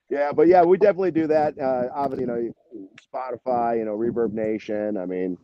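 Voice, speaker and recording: A man's voice: medium pitch, moderate pace, pauses often, dry recording, dull audio. An American man chatting casually as an interview guest, in a rambling, informal way. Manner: rambling, informal, peppered with "you know" and "man". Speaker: band musician, interview guest.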